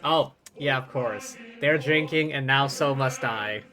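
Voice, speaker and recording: A man's voice: medium pitch, unhurried, crowd noise. A man doing casual, chatty game commentary as a live streamer, easygoing in manner.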